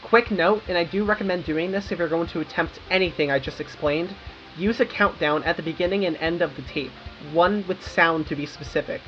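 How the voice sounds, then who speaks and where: A woman's voice: lowish voice, a little fast, fairly bright tone, lo-fi sound. A young American woman narrating a scripted video essay with informal, wry, self-aware asides. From United States. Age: young adult. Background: background music.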